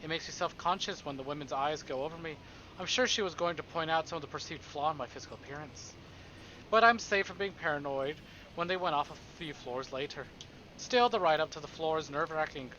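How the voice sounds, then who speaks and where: A man's voice: on the high side, a little fast, pushed and bright, lo-fi sound, a little hiss or hum. A man streaming a visual novel, reading it aloud theatrically with playful, casual asides.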